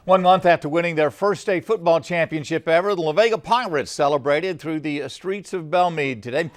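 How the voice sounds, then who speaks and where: A male anchor delivers a scripted television newscast from a studio. His voice sits a little higher than most men's. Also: fairly quick. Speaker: news anchor. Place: TV news studio.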